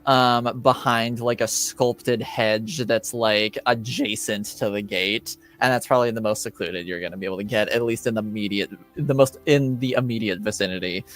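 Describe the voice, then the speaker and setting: A man improvising narration as a game master for a tabletop roleplaying game, speaking haltingly with many filled pauses. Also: lowish voice, a bit bright.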